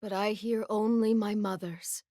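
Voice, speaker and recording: A woman voicing a calm, solemn warrior chieftain in a video game, grave and measured. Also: mid-range voice, no room sound, clean studio sound, lightly acted. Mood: solemn resolve. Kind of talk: video game character voice lines.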